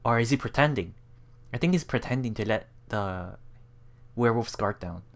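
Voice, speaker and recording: A man's voice: lowish voice, lively intonation, normal speed, vocal fry. A man hosting a let's play, reading visual novel text aloud and adding casual, reactive asides. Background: game music.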